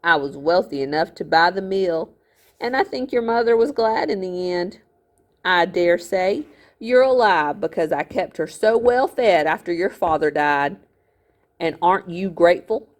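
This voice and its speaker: A woman's voice: lowish voice, expressive, a little slow. A woman voicing a greedy, fat older miller in a storybook, a smug villain with a falsely genteel, gloating manner. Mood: smug, mocking.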